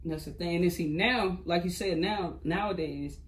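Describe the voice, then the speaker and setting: A man's voice: sits high, moderate pace, slight background noise. A man hosting an interview, easygoing and encouraging, with a lot of fillers. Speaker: interview host. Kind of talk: casual interview talk.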